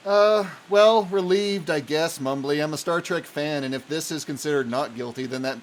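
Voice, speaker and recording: A man's voice: fairly high pitch, moderate pace. A man giving a casual mock interview as a podcast prosecutor, hesitant and dry in manner. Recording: faint noise behind voice.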